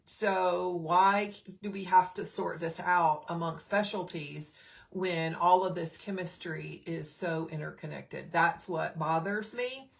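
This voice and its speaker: A middle-aged woman hosts a casual live stream, her throat still a little scratchy. She talks in a fairly low, relaxed voice, chatting along with plenty of fillers and pausing to greet viewers by name. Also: creaky. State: slightly scratchy throat, clearing it often. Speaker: nurse and health educator hosting a live stream.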